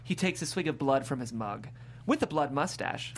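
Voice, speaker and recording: A man's voice: mid-range voice, lively intonation, moderate pace. A man narrating the stage directions at a comedy script table read. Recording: faint background noise.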